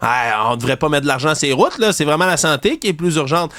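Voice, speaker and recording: Québécois male host, heated sarcastic rant, higher-pitched, dry close sound. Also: fast, a bit bright, no echo. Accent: Québécois French. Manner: indignant, ranting, sarcastic, mocks opponents in high voices. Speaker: commentary show host. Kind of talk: heated opinion commentary.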